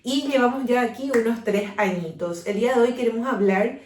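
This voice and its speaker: A woman's voice: on the high side, brisk, darkish tone. A woman in her twenties with a Paraguayan accent, co-hosting a podcast in a casual, conversational way. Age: 20s. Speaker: podcast co-host. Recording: roomy echo, distant from the mic.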